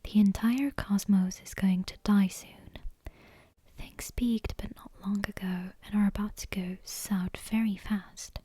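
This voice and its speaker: Woman doing asmr bedtime story, super breathy whisper, mellow, gentle careful reading. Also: medium pitch, steady tone, a little slow, no echo. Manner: gentle, careful, hushed read-aloud delivery.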